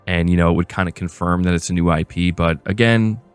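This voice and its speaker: A man with a low-pitched voice hosts gaming news. He moves between reading reports and offering casual commentary in a conversational way. Faint music plays behind his voice. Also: steady tone, a little fast, clear, resonant tone. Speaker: host of a gaming news channel.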